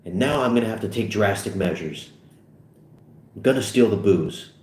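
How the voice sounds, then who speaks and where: Guy reading as cocky sweary teen boy, pushy, up and down pitch, echoey room. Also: animated, moderate pace, darkish tone, close to an everyday voice. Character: brash foul-mouthed teenage boy, pushy schemer.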